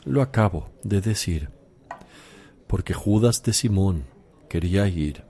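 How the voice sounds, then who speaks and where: A man playing Jesus in a religious radio drama, a calm, solemn teacher who speaks in a measured, firm way. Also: low-pitched, husky, exaggerated voice.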